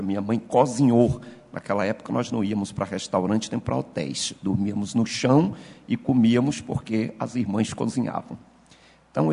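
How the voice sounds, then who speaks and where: Pastor in his fifties, husky, low-pitched, formal ceremonious delivery, long hall reverb. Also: dark tone. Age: 50s. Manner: formal and ceremonious, rising to fervent preaching. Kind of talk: formal speech at a solemn church session.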